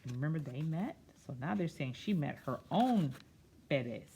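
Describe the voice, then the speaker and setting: A woman's voice: low-pitched, lively intonation, moderate pace, background noise. A woman reading a picture book aloud to children, drawing out phrases expressively and breaking off into simple, casual explanations. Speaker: children's storyteller.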